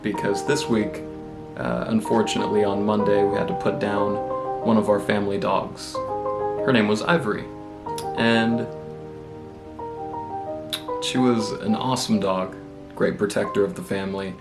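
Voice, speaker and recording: Congested man with a gravelly low voice. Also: a little slow, long pauses, loud music behind the voice. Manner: relaxed, rambling, self-deprecating. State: congested with a cold. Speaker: booktube vlogger. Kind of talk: casual vlog chat.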